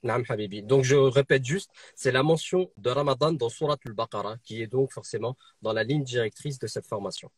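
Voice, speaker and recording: Husky-voiced man hosting a live stream. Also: mid-range voice, fairly quick, hardly any pauses, dry recording. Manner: brief, polite interjections. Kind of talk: hosting a live online talk.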